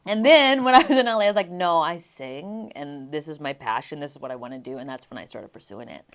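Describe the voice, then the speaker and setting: A young adult woman from Las Vegas, a singer being interviewed, telling her stories in an animated way and laughing often. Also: low-pitched, fast. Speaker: guest singer. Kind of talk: casual interview chat.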